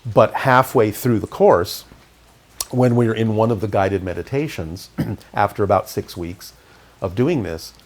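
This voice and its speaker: A middle-aged man, raised in California, calmly recounting his experiences as an interview guest. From Canada, raised in California. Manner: calm, measured, low-key delivery. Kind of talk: reflective interview storytelling.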